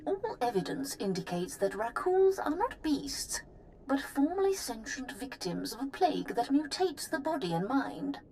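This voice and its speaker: A woman's voice: high voice, sing-song, moderate pace, darkish tone. An actress playing a solemn, earnest female healer recording a formal journal in a video game. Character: solemn female Jedi healer, hopeful turning fearful. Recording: faint noisy ambience.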